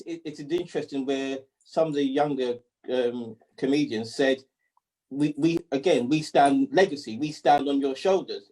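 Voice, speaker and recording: A man speaking as a panelist in an online discussion, reflective and questioning, often pausing to search for words. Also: medium pitch, darkish tone, poor audio.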